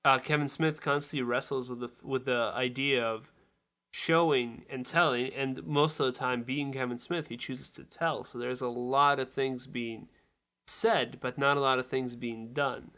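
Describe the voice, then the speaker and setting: A man on a podcast panel giving casual, analytical film criticism in a measured way. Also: medium pitch, fairly even intonation, sharp tone.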